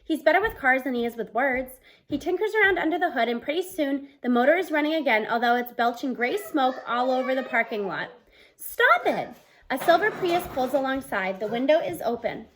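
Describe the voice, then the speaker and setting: A woman in her thirties reading a novel aloud in an expressive, storytelling style, acting out the dialogue. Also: high-pitched, brisk, hardly any pauses. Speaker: read-aloud book reader. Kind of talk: expressive children's audiobook-style read-aloud. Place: small room at home, indoors.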